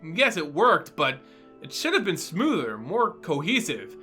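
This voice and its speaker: A man's voice: a little high, wildly swooping pitch, unhurried, sharp tone, faint music behind, exaggerated voice. A man voicing an earnest, worried, studious young twin brother who insists, in a dramatic fantasy story reading.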